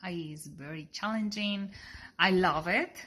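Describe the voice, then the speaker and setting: A young woman from Colombia with a Colombian Spanish accent, a sonography student telling her story haltingly, with many fillers and restarts. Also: on the low side, pushed and bright. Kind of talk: casual personal testimony.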